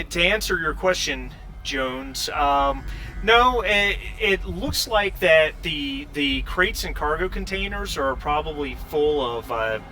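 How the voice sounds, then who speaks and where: A man's voice: fairly high pitch, bright, forceful tone, a little hiss or hum, low-quality recording. A man working as game master, narrating a tabletop role-playing game in a conversational, somewhat hesitant way.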